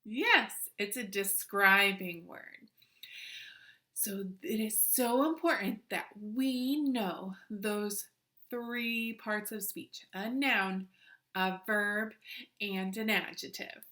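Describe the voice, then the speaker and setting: A woman's voice: medium pitch, takes it slowly, sharp tone. A woman teacher leading an interactive children's lesson, encouraging, with simple instructions, questions and repetition. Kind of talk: interactive children's lesson, addressing viewers through the screen.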